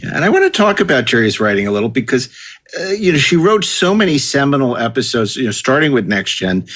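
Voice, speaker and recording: A man with a husky voice hosting a casual, enthusiastic discussion. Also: mid-range voice, sing-song, fast talker, a bit bright. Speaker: podcast host.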